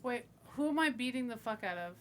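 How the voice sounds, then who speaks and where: A woman's voice: fairly high pitch, expressive, a little fast, heavy background noise. A woman playing a tabletop game, chatting casually and joking in a playful, unfiltered way.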